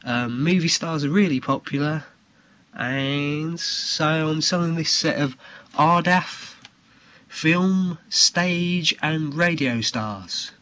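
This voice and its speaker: Casting: male amateur seller-presenter, slightly high pitch, unhurried and halting, informal show-and-tell. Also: sharp tone. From United Kingdom. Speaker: trading card seller presenting his video. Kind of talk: informal product showcase.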